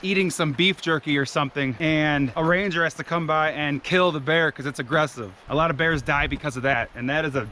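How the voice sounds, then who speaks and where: A loud, chatty young man narrates his hike into a camera mic out on a forest trail, swearing freely as he goes. His voice sits a little higher than most men's, with faint outdoor noise around him. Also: a little fast, few pauses. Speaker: vlogger hiking a trail. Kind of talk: casual hiking vlog chat. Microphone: GoPro camera.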